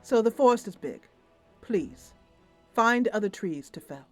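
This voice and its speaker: Gracious fae sister, voiced by a woman: diplomatic, formal, gently persuasive, varied intonation, fantasy roleplay. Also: medium pitch, lively intonation, unhurried, dry recording, soft background music, pitched above the performer's own voice, lightly acted. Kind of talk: tabletop fantasy roleplay character.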